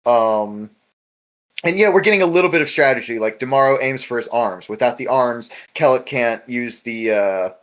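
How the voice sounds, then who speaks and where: A man's voice: mid-range voice, normal speed, a bit bright. A man hosting a casual podcast chat, talking in a rambling, informal way with lots of filler words. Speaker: podcast host. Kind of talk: casual podcast discussion of a webcomic.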